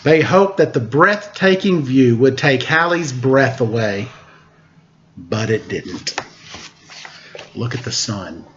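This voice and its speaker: Slow-paced man reading a children's story aloud. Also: mid-range voice, lively intonation, highs cut off, slight background noise. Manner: playful, unhurried, pausing to comment on pictures. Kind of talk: read-aloud story time with casual asides.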